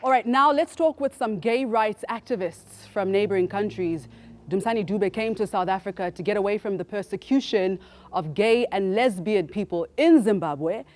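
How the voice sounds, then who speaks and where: A woman hosting a televised studio debate, introducing guests and putting questions to them in a brisk way. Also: medium pitch, darkish tone, a little hiss or hum. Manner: brisk, leads applause. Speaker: debate host. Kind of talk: TV studio moderating.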